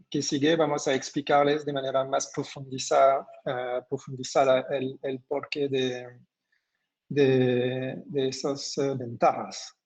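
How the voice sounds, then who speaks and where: Slow-paced man co-presenting a webinar. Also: medium pitch, darkish tone, low-quality recording. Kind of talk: presenting quiz questions and answers.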